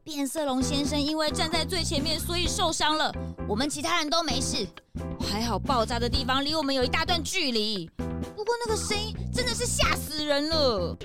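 A woman's voice: high-pitched, expressive, brisk, music in the background. A woman playing an eager, cheeky young male detective's assistant in a children's radio drama. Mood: worried.

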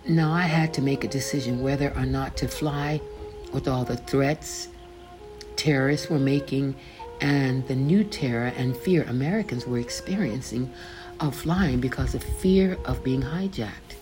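An older woman reading her own faith memoir aloud, slowly and deliberately, pausing to gloss the names in scripture. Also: rumbling low. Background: background music.

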